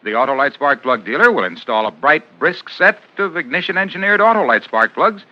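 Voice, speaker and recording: An actor playing a chipper, salesy doctor in a 1950s radio commercial, with punchy, emphatic patter. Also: male voice, a little high, big pitch swings, brisk. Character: chipper, salesy doctor character, an advertising pitchman. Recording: dull 1950s radio recording.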